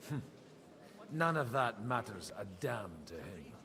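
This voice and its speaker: An actor playing a middle-aged medieval priest in a video game, world-weary and wry, with a dry, grumbling manner. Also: male voice, fairly low pitch, big pitch swings, unhurried, crowd noise. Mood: weary, bitter. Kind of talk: video game dialogue acting.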